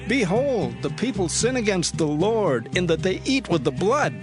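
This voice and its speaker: A man's voice: high voice, sing-song, normal speed. An actor playing an urgent Israelite messenger declaiming to his king in a dramatized scripture reading. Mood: alarmed. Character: alarmed Israelite man reporting wrongdoing to his king. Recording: dramatic music behind the voice.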